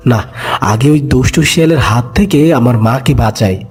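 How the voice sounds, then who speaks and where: A man's voice: mid-range voice, normal speed, darkish tone, husky, faint music behind, lightly acted. A man voicing a kind, brave and clever young duckling in a cartoon animal fable, earnest and coaxing. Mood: worried, surprised.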